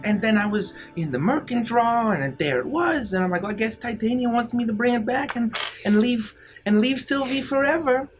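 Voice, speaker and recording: A woman's voice: fairly high pitch, expressive, brisk, over music, close to an everyday voice. A woman voicing a tiny, naive, flustered pixie with a sneaky streak, hesitant and stammering, in a tabletop game. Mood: sheepish. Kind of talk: improvised tabletop roleplay.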